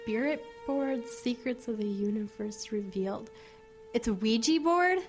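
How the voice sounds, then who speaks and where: A woman's voice: fairly high pitch, expressive, unhurried, soft background music. A woman playing a whiny, sulky fifteen-year-old schoolgirl in an audio drama. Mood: curious, muttering.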